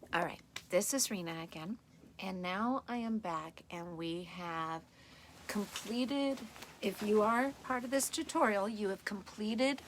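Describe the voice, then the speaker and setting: A woman teaching a live sewing tutorial in a chatty, hands-on way, her voice slightly raspy and tired as she works. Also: mid-range voice, slight background noise. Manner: chatty, talks while working, restarts often. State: tired, a little hoarse. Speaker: sewing instructor.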